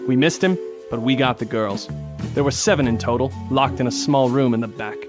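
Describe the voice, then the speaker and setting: A man playing a determined, hard-boiled police detective in a noir radio drama, narrating and speaking in a terse, deadpan way. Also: medium pitch, a little fast, close to an everyday voice. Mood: grim, determined. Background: music.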